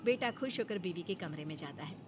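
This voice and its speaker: A woman narrating a scripted cartoon story in a dramatic, expressive way. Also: on the low side, a little fast, faint music behind.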